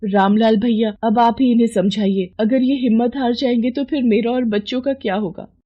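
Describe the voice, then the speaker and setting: A woman as a devoted, worried village wife, husky. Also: a little high, steady tone, normal speed, lo-fi sound, voice pushed up. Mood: pleading. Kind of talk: Hindi moral story voice acting.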